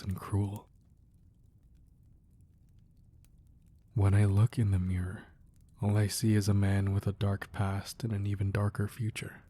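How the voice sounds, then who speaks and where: A deep, mellow male actor playing a crime boss husband in a romantic audio roleplay. He is soft and breathy, measured and firm, a ruthless man who turns protective and affectionate with the woman he loves. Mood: tender, brooding. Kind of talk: intimate romantic ASMR roleplay acting.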